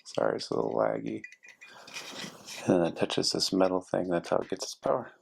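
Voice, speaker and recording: A man's voice: low-pitched, sing-song, fairly quick, vocal fry, slight background noise. A man casually narrating a hands-on repair, thinking aloud as he works, like a DIY video host. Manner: thinking aloud while working. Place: indoors at a carpeted workspace desk.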